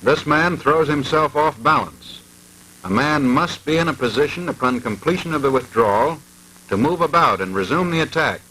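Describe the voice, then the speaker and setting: A man's voice: on the high side, expressive, moderate pace, bright, forceful tone, breathy edge, rough recording. A man reading formal, precise scripted narration in the manner of a 1940s military instructional film.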